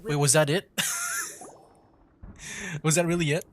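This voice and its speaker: A man's voice: on the high side, fairly even intonation, a little slow, a little hiss or hum. A man streaming a video game, reacting casually in informal, filler-heavy talk. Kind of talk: casual livestream gameplay reaction.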